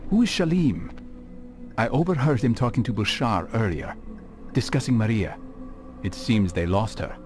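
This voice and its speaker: An actor playing a sober, earnest male assassin in a video game, speaking in a measured, formal way. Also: medium pitch, lively intonation, a little mellow, soft background music, lightly acted. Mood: businesslike. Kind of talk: dramatic video game acting.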